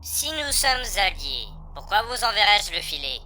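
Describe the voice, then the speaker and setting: A woman's voice: medium pitch, sing-song, a little slow, sharp tone. A woman voicing a cold, secretive underworld boss's messenger in a French audio drama, formal, clipped and menacingly polite. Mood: cold questioning.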